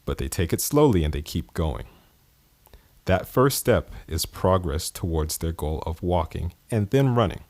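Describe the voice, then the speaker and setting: Man, podcast host, low voice, dead dry sound, chatty and rambling, from the American East Coast. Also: animated, measured pace, darkish tone, no room sound. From East Coast of the United States. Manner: conversational, rambling with fillers and chuckles. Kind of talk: casual podcast monologue.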